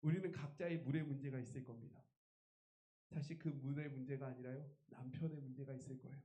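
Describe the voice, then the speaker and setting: A man's voice: mid-range voice, steady tone, a little fast, dark tone, echoey room. A man preaching a revival sermon as a pastor, emphatic and putting rhetorical questions to his congregation. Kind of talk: Korean church revival sermon.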